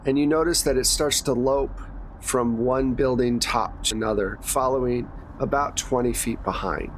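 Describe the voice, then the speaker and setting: A man acts as game master for a horror tabletop game. He describes scenes conversationally, in plain everyday words, at a middling pitch. There is noticeable noise behind his voice. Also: normal speed, low-quality recording. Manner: descriptive, casual, unscripted storytelling.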